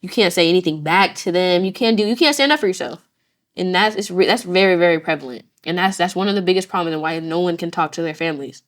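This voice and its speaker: Teen girl, casual, lower voice, restarts words. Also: fast talker. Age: teens. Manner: animated, repeats words while thinking. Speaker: youth participant. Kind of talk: casual discussion.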